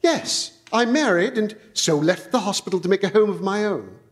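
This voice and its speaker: An actor playing an earnest young country doctor who reads an old legend aloud with solemn, dramatic storytelling in a live radio play. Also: male voice, sits high, animated, normal speed. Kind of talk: live stage radio-play acting, reading a tale aloud. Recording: live stage recording with music underscore.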